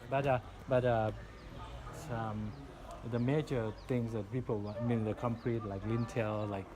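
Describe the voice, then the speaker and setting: A man explaining things informally like a local guide, halting and searching for words, out at a historical site. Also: mid-range voice, steady tone.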